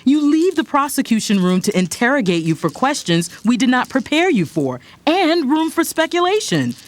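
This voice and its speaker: A young actress playing a sharp, bossy adult daughter and lawyer in an audio drama, with a stern, commanding manner. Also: female voice, sits high, big pitch swings, normal speed. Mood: stern, exasperated. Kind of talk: audio drama acting with first-person narration. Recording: music behind.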